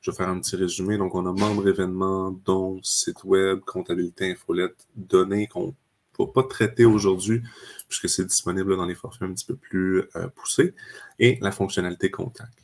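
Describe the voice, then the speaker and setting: A man presents software during an online webinar. His voice sits very low. He explains things steadily and uses frequent fillers. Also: steady tone, moderate pace, slight background noise. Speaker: customer success manager.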